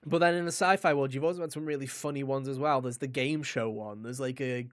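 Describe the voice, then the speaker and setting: A man's voice: mid-range voice, steady tone, quick pace, no room sound. Enthusiastic, rambling man in casual podcast chat. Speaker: podcast co-host reviewing a video game.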